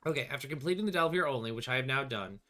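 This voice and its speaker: A man's voice: medium pitch, expressive, rapid-fire, pushed and bright. A middle-aged man gives casual, wry play-through commentary on a solo tabletop game, thinking aloud as he goes. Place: small indoor room with bookshelves.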